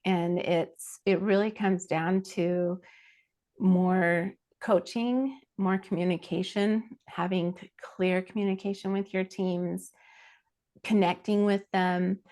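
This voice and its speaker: Earnest woman coach with a lowish voice. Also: steady tone, slow pace, a little mellow. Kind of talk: conversational advice.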